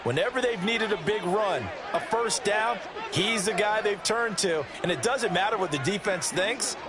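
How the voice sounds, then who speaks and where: A high-pitched, folksy American football analyst performed by a man for a video game. He explains and admires plays conversationally but with emphasis, over very loud background noise. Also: normal speed, a crowd in the background, close to an everyday voice. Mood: admiring, emphatic. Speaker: color commentator.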